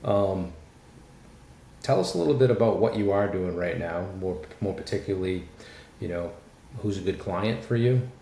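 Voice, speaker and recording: A man's voice: deep voice, expressive, moderate pace, vocal fry, warm tone, echoey room, slight background noise. A male podcast host interviewing a guest, casual and friendly, often calling the guest "man".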